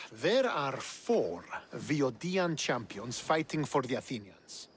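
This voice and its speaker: An actor playing a bitter, hostile Spartan commander who resents the hero. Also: male voice, medium pitch, big pitch swings, slow, a little hiss or hum. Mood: scornful. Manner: scornful, resentful. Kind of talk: video game cutscene acting.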